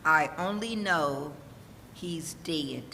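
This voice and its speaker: An actor playing a callous, gossiping merchant with a drawling, theatrical delivery in a 1940s radio drama. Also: male voice, a little high, extremely slow, vocal fry, bright, forceful tone, full character voice. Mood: callous, indifferent. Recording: echoey hall, faint background noise.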